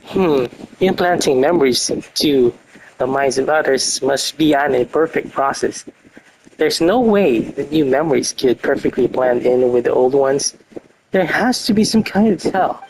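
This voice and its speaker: A man voicing a thoughtful, earnest young traveler hero in fan-read game dialogue, deliberate and analytical. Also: medium pitch, expressive, a little mellow, rough recording. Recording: faint noise, clicks on microphone.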